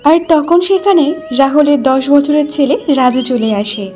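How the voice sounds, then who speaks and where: A woman's voice: high-pitched, lively intonation, moderate pace, darkish tone. A woman giving scripted narration for a cartoon folk tale.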